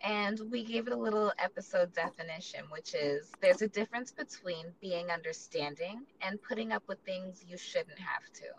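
A woman's voice: medium pitch, normal speed, few pauses, recorded from a distance, slight background noise, poor audio. A woman co-hosting a casual podcast, upbeat and chatty as she introduces the show and reads out listener comments. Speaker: podcast co-host.

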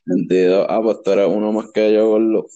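A man's voice: lowish voice, level tone, moderate pace, warm tone, dry recording, dull audio. A twenty-one-year-old man with a Puerto Rican accent hosting a podcast, asking questions in easygoing slang and laughing often. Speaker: podcast host. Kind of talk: casual interview.

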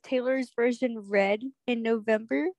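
A woman's voice: a little high, fairly even intonation, a little mellow, dry recording. Bubbly young woman host, dry sound. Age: young adult. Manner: bubbly, scattered, quick asides. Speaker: podcast host. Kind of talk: casual interview chat.

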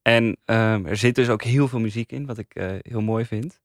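A man's voice: fairly low pitch, steady tone, moderate pace, no room sound, clean studio sound. A man talking casually as a critic on a podcast panel.

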